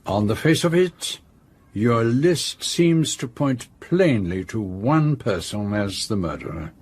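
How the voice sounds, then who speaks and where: An actor playing a courteous, fastidious detective in a radio drama, measured and precise, with a French-speaking Belgian accent. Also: male voice, mid-range voice, animated, darkish tone, breathy edge, dry recording. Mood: courteous, musing. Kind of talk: radio drama dialogue and narration.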